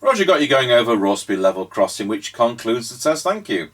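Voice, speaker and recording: A man's voice: mid-range voice, sing-song, brisk, heavy background noise. A man giving casual demonstration commentary as a radio repair host and operator.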